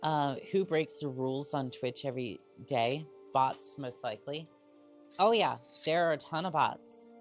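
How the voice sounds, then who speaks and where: A woman with an extremely low voice chats slowly with her stream viewers, husky and worn from days of coughing. She rambles and restarts her sentences, and faint game music plays softly underneath. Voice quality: husky, strained voice. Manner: rambling, halting, many fillers and restarts. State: sick with a cough. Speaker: VTuber streamer. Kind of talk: casual live-stream chat while gaming.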